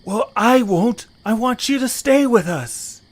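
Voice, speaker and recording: A man's voice: very high-pitched, expressive, takes it slowly, pitched above the performer's own voice, heavily acted. A man playing an anxious, earnest young creature in an amateur audio drama, hesitant and pleading. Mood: pleading, upset.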